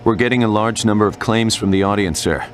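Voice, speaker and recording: An actor playing a businesslike, deferential technician underling in a video game, speaking matter-of-factly. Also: male voice, fast talker, lightly acted. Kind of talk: video game cutscene acting. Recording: rumbling noise behind the voice.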